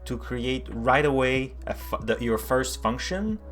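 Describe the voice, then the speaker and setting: A man with a Québécois French accent in casual tech chat as a co-host, often halting as he searches for words. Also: medium pitch, animated, fairly bright tone, faint music behind. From Canada, Quebec. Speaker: co-host developer.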